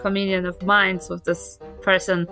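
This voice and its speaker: A woman's voice: on the low side, level tone, moderate pace, bright, forceful tone, soft background music. Woman playing a flirtatious, self-assured granny. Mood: coy, confident. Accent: New Zealand. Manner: eager, rambling, coy.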